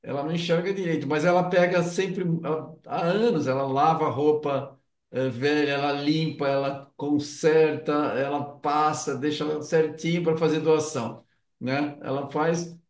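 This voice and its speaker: A man's voice: on the high side, fairly even intonation, normal speed, darkish tone, distant mic. Brazilian male interviewer in an echoey room. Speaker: interview host. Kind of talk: casual interview chat. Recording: roomy echo, away from the mic.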